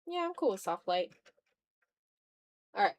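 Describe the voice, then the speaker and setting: A tired woman chatting casually and rambling in a low-key way as she hosts a live art stream and draws. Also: sing-song, a little slow. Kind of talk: casual livestream chat while drawing.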